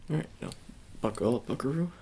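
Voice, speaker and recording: A man doing soft-spoken ASMR roleplay as a shady sports agent, talking in a halting, casual, coaxing way and repeating his phrases. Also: medium pitch, expressive, a little hiss or hum.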